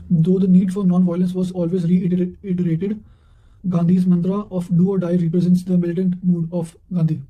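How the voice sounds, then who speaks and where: A man reading study notes and quotations aloud in a measured way, occasionally stumbling over a word. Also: high voice, dull audio. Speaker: narrator of study revision notes.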